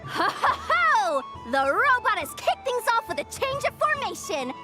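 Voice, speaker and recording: A woman voicing a cocky, excitable little girl in a video game, with brash announcer-style delivery over music. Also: extremely high pitch, moderate pace. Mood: theatrical, excited. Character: excitable little girl robot battler in moon goddess costume, cocky. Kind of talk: animated game voice acting. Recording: music behind the voice.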